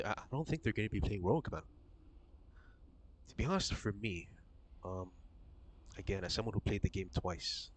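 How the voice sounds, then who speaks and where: A man streaming a game and chatting casually with his viewers, his voice slightly raspy from being sick, rambling and swearing loosely. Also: lowish voice.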